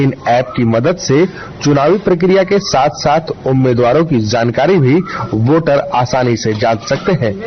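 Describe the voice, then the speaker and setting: A muffled radio recording with faint noise carries a man's mid-pitched voice. He gives a scripted correspondent's report in a formal style. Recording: dull, faintly noisy radio field report.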